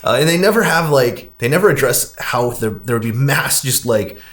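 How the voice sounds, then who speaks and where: A man's voice: mid-range voice, lively intonation, moderate pace, a bit bright. A man co-hosting a podcast, chatting casually and recapping in a conversational way peppered with "like". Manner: conversational, heavy use of "like". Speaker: podcast host. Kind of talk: casual movie discussion, recapping a plot.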